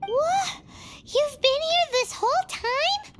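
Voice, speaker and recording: A woman voicing a tiny, excitable, childlike fairy companion in a video game, squeaky and exclamatory. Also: slow pace, background noise, exaggerated voice. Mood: surprised, relieved. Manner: exclamatory, refers to self in third person. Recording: music behind the voice.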